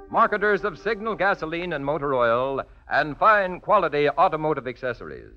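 An American man announcing in a formal, polished, scripted 1940s radio style. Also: medium pitch. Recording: muffled vintage radio recording. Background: music.

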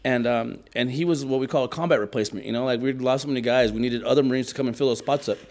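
A man with a medium-pitched voice full of gravelly fry, chatting as a podcast guest. He is a Marine veteran recounting deployment stories. He speaks informally, with plenty of slang and swearing. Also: racing, a little mellow, slight background noise. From San Francisco Bay Area, United States. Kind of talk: casual chat, telling war stories.

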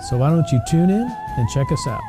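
A man's voice: high voice, wildly swooping pitch, fairly quick, over music. A man presenting a scripted podcast promo.

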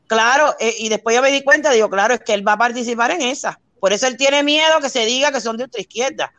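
A Cuban woman with a high, bright-sounding voice tells a heated story and acts out what she said. Her voice is dry and close, with no room sound. She speaks in a Cuban accent, fast and animated. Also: no room sound. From Cuba. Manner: animated storytelling, quoting her own arguments. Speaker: guest on a live talk show. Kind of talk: casual heated chat.

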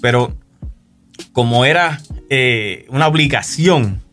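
A man with a Puerto Rican accent gives his testimony on a radio show, speaking in an easy, halting way full of fillers and restarts. Faint music plays softly beneath him. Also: medium pitch. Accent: Puerto Rican Spanish. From Puerto Rico. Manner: relaxed, hesitant, many fillers and restarts. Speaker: guest musician.

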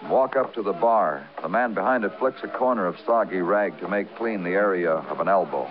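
A man's voice: sits low, a little fast, background noise, close to an everyday voice. An American man acting a weary, poetic big-city police detective in a vintage radio noir drama, with terse questions and brooding rhythmic narration. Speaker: lead actor and narrator. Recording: muffled vintage radio recording with music.

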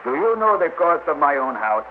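An actor playing a grandiose, jovial Mexican painter and patron, theatrical and self-satisfied, tagging phrases with "eh?" in an old-time radio drama. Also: male voice, a little high, big pitch swings, moderate pace, narrow, tinny audio. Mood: boastful. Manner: theatrical, often tags phrases with "eh?". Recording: thin, slightly noisy old radio broadcast.

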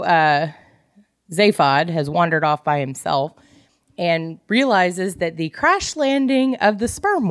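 A woman's voice: on the low side, dry recording, studio quality. A woman co-hosting a book club podcast, casually retelling a plot in a jokey, salty-tongued way. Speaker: podcast co-host.

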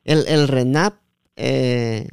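Smooth-voiced Guatemalan man interviewing casually. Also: medium pitch, extremely slow, dry recording, studio quality. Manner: hesitant, repeats words as he forms questions. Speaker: podcast host interviewing a guest.

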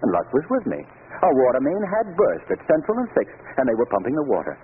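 A tinny, hissy old radio recording carries a self-assured male crime-solver. He talks quickly and casually, with very animated swings of pitch. It is pure 1940s radio drama, amused and confident.

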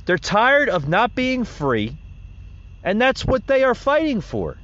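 Animated podcast host addressing folks. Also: male voice, high-pitched, slow. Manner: emphatic, repeatedly addresses listeners as folks. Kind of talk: opinion podcast commentary. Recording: dull lo-fi sound with faint noise.

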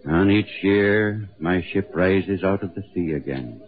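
An actor playing a doomed, merciless ghostly sea captain in solemn, incantatory 1940s radio horror style. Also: male voice, low voice, measured pace, slight background noise. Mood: brooding, ominous. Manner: solemn, incantatory, repetitive phrasing. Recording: muffled, narrow-band 1940s radio recording.

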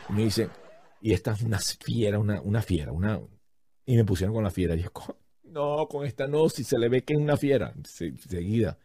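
Animated, husky man telling stories. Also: fairly low pitch, a little fast. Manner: animated storyteller, acts out other people's remarks. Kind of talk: casual comedic storytelling.

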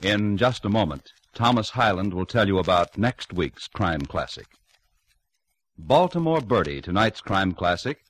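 Low-voiced, animated 1950s radio announcer man. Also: no room sound, low-quality recording. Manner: formal, polished.

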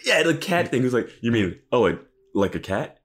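A man's voice: medium pitch, very animated, moderate pace, slightly rough. A man co-hosting a podcast in casual, joking chat, sounding amused and mock-incredulous. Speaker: podcast co-host.

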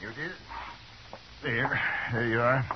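A noisy, muffled vintage radio recording holds a man acting a genial frontier clerk. His voice is medium-pitched, and he chats and murmurs along, obliging and eager to please. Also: unhurried, natural voice. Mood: obliging, searching. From American. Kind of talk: 1950s radio Western acting.

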